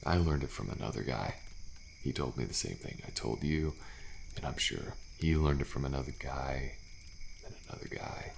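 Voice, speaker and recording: A man playing a weary, thrill-seeking survivor in an intimate ASMR audio roleplay, talking in a slow, laid-back drawl with pauses and sighs. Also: lively intonation, slight background noise, heavily acted. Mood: resigned, musing. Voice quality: deep, gravelly. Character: weary, thrill-seeking male survivor of endless killer trials. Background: campfire ambience with crickets.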